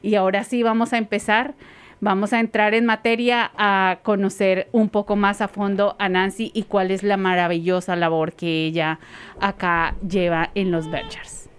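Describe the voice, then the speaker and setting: A woman's voice: medium pitch, level tone, moderate pace, clean tone. A woman hosting a talk show, introducing her guest with enthusiastic, repetitive praise and filler sounds. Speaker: radio show host. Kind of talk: interview introduction.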